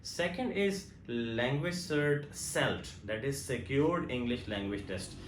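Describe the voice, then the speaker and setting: A man with a Pakistani accent hosting a language exam Q&A, explaining in a measured, step-by-step way. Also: medium pitch, expressive, roomy sound, slight background noise. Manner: mixes Urdu and English, measured explanatory delivery. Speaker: host. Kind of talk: bilingual Q&A on language exams.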